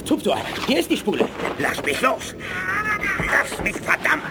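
Verzweifelten Gefangenen, hohe, knarrende Stimme. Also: male voice, sits high, big pitch swings, unhurried, creaky, very noisy, full character voice. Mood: desperate, outraged. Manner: agitated, protesting, overwrought. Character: desperate betrayed alien prisoner, pleading and defiant. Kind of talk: 1980s German radio play acting.

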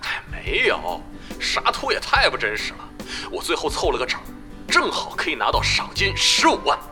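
A cheeky young gamer hustler in an audio drama. He is chatty and theatrical, with extremely swooping intonation over clear background music. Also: on the high side, huge pitch swings, normal speed. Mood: cheeky, boastful. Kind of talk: Chinese audio drama acting.

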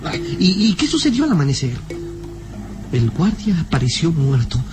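A man's voice: fairly high pitch, big pitch swings, moderate pace, over music. A man playing a small, nervous, curious boy sidekick, earnest and hesitant, in an old radio serial style. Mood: fearful, questioning.

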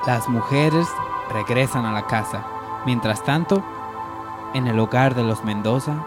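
A man's voice: medium pitch, a little slow, loud music behind the voice. A man narrating a scripted radio soap opera.

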